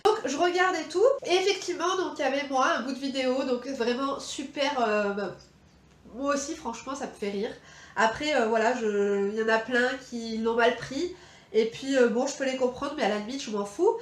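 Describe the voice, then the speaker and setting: A woman's voice: sits high, normal speed, echoey room. A woman with a French accent chatting casually through a makeup tutorial, rambling with lots of fillers and slangy asides. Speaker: beauty vlogger. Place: indoor room at home.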